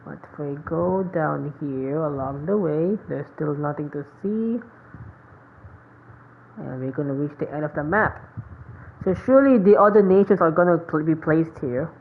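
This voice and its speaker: A woman's voice: low-pitched, normal speed, creaky. A woman streaming a game with casual commentary, sometimes reading the dialogue aloud. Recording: thin phone-like sound, background noise.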